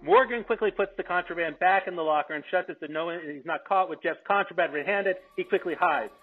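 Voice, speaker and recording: A man's voice: high-pitched, fairly quick, husky. A man reading out stage directions as narrator at a remote script table read. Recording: dull call audio, music behind.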